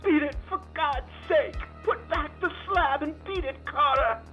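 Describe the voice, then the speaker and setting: A man's voice: very high voice, measured pace, sharp tone, pitched above the performer's own voice, heavily acted. A man voicing a terrified, doomed young man urgently warning his companion through a telephone filter, in a strained voice that breaks into whispers. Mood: desperate terror. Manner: urgent, boyish slang. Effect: field telephone or radio filter. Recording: band-limited telephone line over music.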